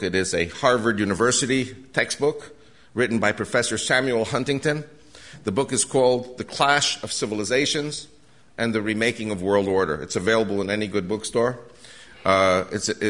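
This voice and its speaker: Earnest older man lecturing, low voice. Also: fairly bright tone. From United States. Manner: earnest, urgent warning tone with frequent restarts. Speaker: guest lecturer. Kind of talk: lecture to a live audience. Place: hall with a live audience.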